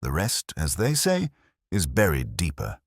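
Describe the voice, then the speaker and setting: A man's voice: deep voice, wildly swooping pitch, unhurried, slightly rough, dry recording, clean studio sound, exaggerated voice. A man voicing a dutiful servant in an audiobook, plain and respectful in his delivery. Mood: sombre, matter-of-fact.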